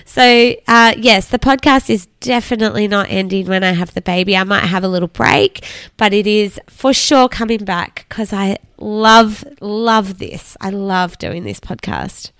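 A woman in her thirties, hoarse and raspy from a flu, chatting candidly as a podcast host and laughing often. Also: medium pitch, expressive, a little slow, a bit bright, no echo. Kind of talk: casual solo podcast talk.

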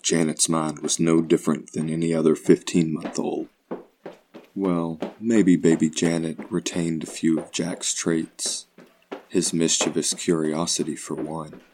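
A man's voice: rumbling low, darkish tone, slight background noise. A man narrating a scripted horror story in an audio play.

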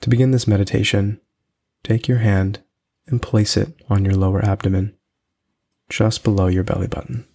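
A man hosting a podcast and leading a guided meditation in a soothing, unhurried way with long pauses. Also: fairly low pitch, level tone, gravelly, warm tone.

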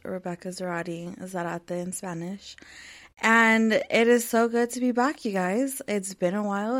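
A woman in her thirties hosting her own podcast, chatting casually and rambling, with lots of "um" and "like.". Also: fairly low pitch. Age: 30s. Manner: rambling, heavy with "um" and "like". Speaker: podcast host. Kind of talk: casual solo podcast chat.

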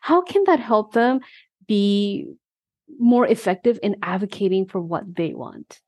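A woman's voice: mid-range voice, big pitch swings, unhurried, a little mellow. A woman hosting an interview podcast, a leadership coach who asks questions eagerly and affirms her guest often. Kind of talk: conversational interview.

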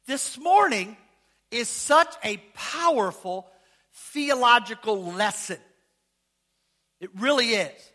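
Pastor, male, unusually high and bright voice, casual and joking, quizzes the congregation, bursts into shouts. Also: takes it slowly, long pauses. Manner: animated, rising to shouts, asks the congregation questions. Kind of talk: sermon.

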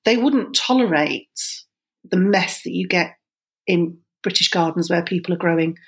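A woman's voice: fairly low pitch, moderate pace, breathy edge. A woman hosting a podcast, talking casually and explaining at length to her guest. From Germany. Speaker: podcast host. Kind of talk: casual conversational interview.

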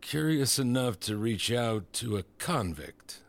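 A man playing a smug, manipulative disgraced senator in an audio drama, condescending, deliberate and insinuating. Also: medium pitch, lively intonation, creaky. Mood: cold, probing.

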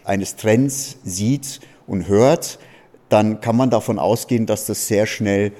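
A man with a fairly low, mellow voice speaks on a conference panel. He rambles and leans on filler words, and his voice rings with the long reverb of a big hall. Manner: rambling, fills pauses with "ja" and "letztendlich". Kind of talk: panel discussion answer. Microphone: handheld mic.

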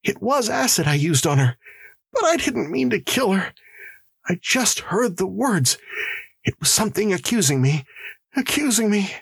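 A frantic male prisoner in an audiobook, his voice pushed extremely high. He gasps and pleads as if crazed with guilt, lurching wildly in pitch and close in a dry recording. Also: big pitch swings, moderate pace, gravelly, fairly bright tone, husky, no echo, exaggerated voice. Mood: frightened, confessing. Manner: shrieking, gasping, incoherent pleading. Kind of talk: audiobook character acting.

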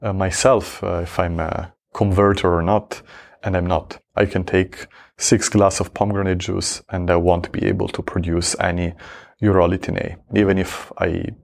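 A man's voice: sits low, little pitch movement, moderate pace, gravelly. A man from Switzerland, a researcher answering interview questions, talking hesitantly with many fillers and restarts. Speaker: researcher guest.

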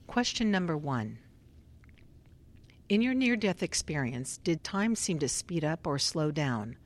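A woman playing a polite, methodical research doctor in a radio drama, speaking in a formal, measured way. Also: deep voice, big pitch swings. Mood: procedural.

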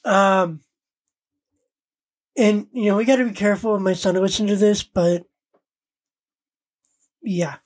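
A woman's voice: mid-range voice, steady tone, unhurried, pauses often, darkish tone. A woman co-hosting a podcast, chatting casually and acting out bits she recalls. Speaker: podcast co-host. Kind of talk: casual chat about an anime parody.

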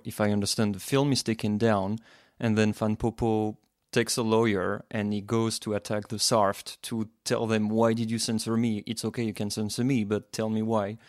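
Man, podcast host, lowish voice, monotone, long questions. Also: no room sound, studio quality. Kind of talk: interview questions. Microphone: podcast microphone.

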